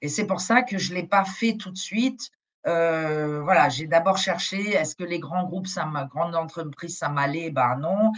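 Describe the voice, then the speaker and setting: A woman's voice: fairly low pitch, a little slow. A middle-aged woman whose first language is German, a company director talking casually in a live interview, full of fillers and restarts. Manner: chatty, colloquial, many fillers and restarts. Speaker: agency director, guest.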